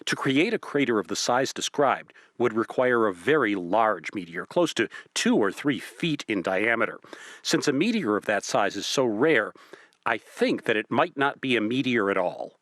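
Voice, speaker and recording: A man playing a measured, skeptical professor who explains things in a precise, formal way in a radio drama. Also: medium pitch, big pitch swings, a bit bright, no echo, studio quality. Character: measured, skeptical male professor giving expert analysis. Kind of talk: radio drama acting as a phone interview.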